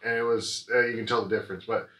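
A man's voice: on the low side, fairly even intonation, fast talker, a bit bright. A young American man chatting casually as a vlogger, explaining things in a rambling way. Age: young adult. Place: small living room, on a couch. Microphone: camera mic a few feet away. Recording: roomy echo, noisy camera audio.

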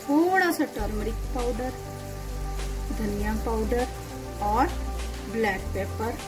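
Slow, instructive woman over music. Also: a little high. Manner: slow, instructive, in short phrases. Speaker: cooking channel host. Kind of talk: step-by-step recipe voice-over.

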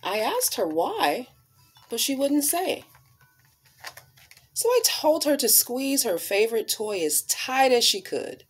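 A woman in her thirties reading aloud to children as a storyteller, with deliberate, dramatic emphasis on key words. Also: high voice, big pitch swings, breathy edge, faint music behind. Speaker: storyteller reading a picture book. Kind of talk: expressive read-aloud for children. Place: small living room, indoors.

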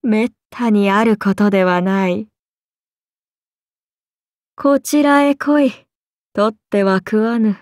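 Woman playing a slow-spoken, imperious serpent goddess. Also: mid-range voice, darkish tone, dry recording, clean studio sound, natural voice. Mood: amused, imperious. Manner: archaic, imperious, languid speech with slow deliberate phrasing. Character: haughty, amused serpent-god oni woman, ancient and predatory. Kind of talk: Japanese game character voice lines.

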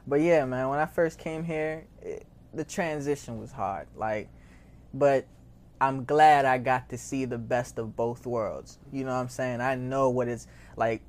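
A man's voice: mid-range voice, measured pace, a little mellow. A nineteen-year-old man from Haiti with an American accent, talking casually and slangily as an interview guest, often repeating phrases. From born in Haiti, raised in Maryland from age seven. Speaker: interview guest, record label founder.

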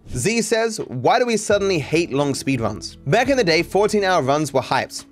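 A man in his thirties, a streamer who rambles frankly through chat comments, swearing casually, at a studio mic in a dark room. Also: medium pitch. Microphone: studio mic on boom arm, headphones.

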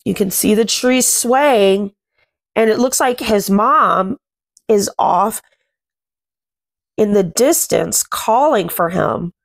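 Slow-paced woman reading a storybook aloud. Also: medium pitch, vocal fry. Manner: simple, deliberate phrasing with frequent questions to the listener. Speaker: children's read-aloud host. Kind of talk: storybook read-aloud with commentary and questions to young listeners. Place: small furnished room at home.

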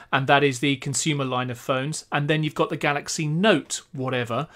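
A man's voice: a little high, a bit bright. A man in his forties hosting a casual tech discussion from a home study on a studio microphone. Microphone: large condenser studio mic.

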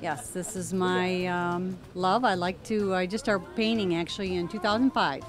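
A retired woman in her sixties from Michigan, chatting in a friendly, relaxed way about her watercolors at an outdoor booth. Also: on the low side, normal speed, over music. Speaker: local watercolor artist. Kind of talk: casual interview answers. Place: outdoors at an art fair booth.

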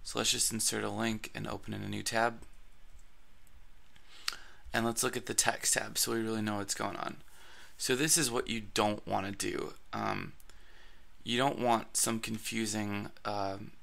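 Guy doing a quick blog tutorial, kinda raspy from allergies, flat low-ish voice, lots of ums. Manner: casual, with frequent fillers and restarts. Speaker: blogging tutorial host. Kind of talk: screencast tutorial narration.

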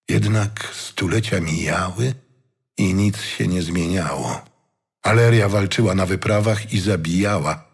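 A man reading scripted dramatic fantasy narration in a measured, solemn storytelling manner. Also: fairly low pitch, husky. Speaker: audiobook narrator.

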